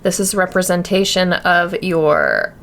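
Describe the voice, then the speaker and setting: A woman's voice: on the low side, wildly swooping pitch, a little slow, a bit bright, slight background noise. A woman co-hosting a podcast in casual chat, with an irreverent, self-mocking, sweary manner. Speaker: podcast co-host.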